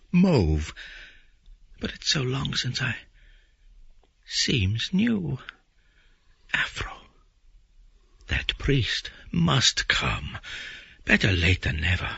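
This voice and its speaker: Man acting a meek, gravelly, rambling middle-aged man. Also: medium pitch, huge pitch swings, drawn-out words, long pauses, sharp tone, no echo, highs cut off, heavily acted. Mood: dreamy, rambling. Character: meek, submissive middle-aged man, pompously wordy and anxious. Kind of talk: dramatized audiobook acting.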